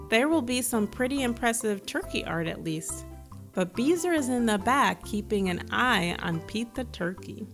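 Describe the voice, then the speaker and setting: Woman reading a children's story over music. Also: medium pitch. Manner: engaging, asks the listener questions. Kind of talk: read-aloud storytelling with asides to young listeners.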